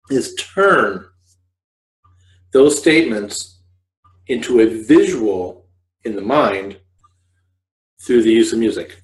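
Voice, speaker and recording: A man in his forties giving an informal, rambling instructional talk to camera, often correcting himself as he explains. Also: medium pitch. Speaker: host of a scriptwriting video podcast, fiction writer. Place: living room couch, indoors. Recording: roomy, echoey home recording.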